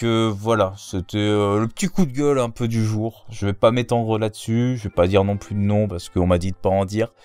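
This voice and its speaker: Blunt ranting man, smooth low voice. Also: a little mellow. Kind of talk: casual answers.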